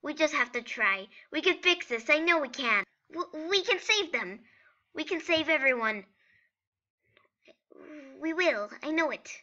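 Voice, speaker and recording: Woman voicing an earnest, excitable, high-pitched skeleton brother. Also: measured pace, dull audio, heavily acted. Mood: determined. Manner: dramatic, pleading, emphatic. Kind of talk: amateur comic dub acting.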